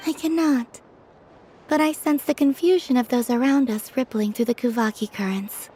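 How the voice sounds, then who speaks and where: A woman voicing a serene, dreamy young maiden in a video game, calm and innocent with gentle teasing. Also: high-pitched, moderate pace, slight background noise, close to an everyday voice. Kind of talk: video game character voice acting.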